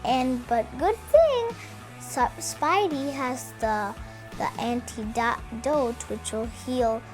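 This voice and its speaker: A woman reading a storybook aloud to children in an expressive way, talking directly to young listeners. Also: on the high side, slow pace. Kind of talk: read-aloud storytelling with chatty asides to children. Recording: music behind the voice. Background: music.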